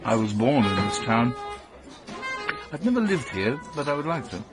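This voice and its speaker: Actor playing wealthy secretive suitor, courtly, earnest, persuasive, animated intonation, radio drama, faint music. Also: male voice, medium pitch, moderate pace, natural voice. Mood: earnest, tender. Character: secretive, wealthy male smuggler, devoted suitor seeking respectability. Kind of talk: British radio drama acting.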